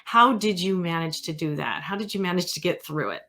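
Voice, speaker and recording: A woman's voice: lowish voice, fairly quick, sharp tone. A woman hosting a conversational interview, relating what she hears to her own experience as she asks questions. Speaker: interviewer, host.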